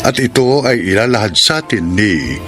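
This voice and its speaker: Male Filipino radio drama announcer, formal, very animated pitch, unhurried, over clear music. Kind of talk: scripted radio drama narration. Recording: loud music bed under announcer.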